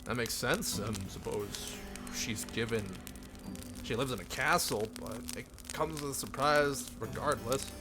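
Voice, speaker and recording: A man's voice: medium pitch, a little slow, over music. A man reading a visual novel aloud on a live stream as narrator, in a theatrical storybook delivery.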